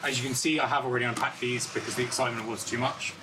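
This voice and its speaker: A man's voice: medium pitch, fairly even intonation, very fast. Man casually explaining gear over background noise. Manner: informal, explanatory, thinking aloud. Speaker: vlogger reviewing and installing car gear. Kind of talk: casual product review and hands-on demonstration.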